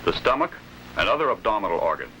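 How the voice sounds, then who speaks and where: A forceful American man narrates an old instructional film in a formal, authoritative manner. His pitch moves in lively steps as he carefully enunciates each phrase, over a noisy vintage soundtrack. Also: fast talker.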